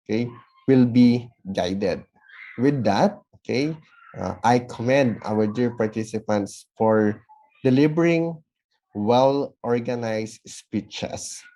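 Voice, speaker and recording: Man giving measured feedback. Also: mid-range voice, mellow, low-quality recording. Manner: measured, enumerates points. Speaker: judge giving comments. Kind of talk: formal spoken feedback. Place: online event recording.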